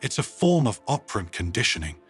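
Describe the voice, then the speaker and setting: Guy reading as earnest woman presenter in an audio story, explaining stuff, lively pitch, bit husky. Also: fairly quick, faint music behind, exaggerated voice. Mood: flustered. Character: earnest woman presenting her pet to an audience.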